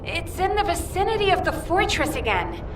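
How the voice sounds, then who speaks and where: An actress playing an efficient young operations officer in a video game, reporting formally and crisply. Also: female voice, extremely high pitch, big pitch swings, moderate pace, music in the background. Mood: alert, businesslike. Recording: roomy, distant, noisy ambience.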